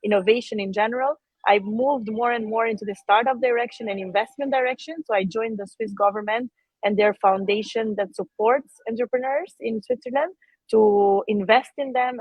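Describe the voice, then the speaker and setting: A woman in her thirties, from Albania and speaking, gives a guest interview in a measured, conversational way. Her voice sits at a middle pitch. The sound is dry, with no room echo. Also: slightly rough, lo-fi sound. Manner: measured, with frequent filler sounds and restarts. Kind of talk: career story in a conversational interview.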